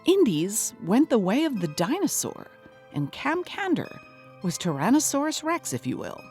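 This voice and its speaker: True-crime style podcast host, super earnest, lively mid voice, music under. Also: female voice, on the high side, moderate pace. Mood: earnest, intrigued. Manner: earnest, dramatic. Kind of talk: scripted mock documentary narration.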